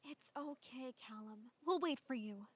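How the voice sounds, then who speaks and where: A woman's voice: high voice, a little slow, slightly rough. A woman playing a concerned, supportive young companion in a fantasy visual novel.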